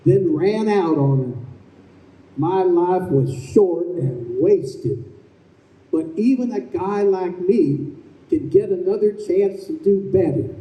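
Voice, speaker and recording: A man playing a remorseful dead husband who narrates his own story in an old-time radio play, with measured, folksy delivery. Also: sits high, warm tone. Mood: rueful, hopeful. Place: convention hall stage. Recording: echoey hall, distant microphone.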